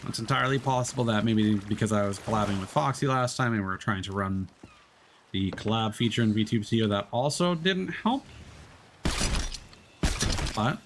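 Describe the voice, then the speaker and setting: Man streamer, lively up-and-down pitch, chatty, thinking aloud, faint background hum. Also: normal speed, long pauses. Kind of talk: casual gameplay commentary.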